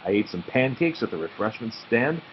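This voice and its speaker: A man narrating a children's story aloud expressively and deliberately, with dramatic pauses. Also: medium pitch, very animated. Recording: muffled audio with faint background noise.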